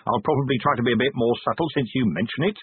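A man voicing a weary, gruff middle-aged police commander with a sardonic edge. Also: medium pitch, rapid-fire, no room sound, phone-quality sound.

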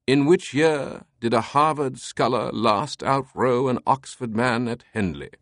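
A man voicing a theatrical, playful British aristocrat in an audiobook, with a crisp, light voice and a mock-grand British accent. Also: medium pitch, a little slow, dry recording, studio quality, pitched above the performer's own voice, close to an everyday voice. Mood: mock-solemn. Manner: pompous, dramatic, mock-solemn.

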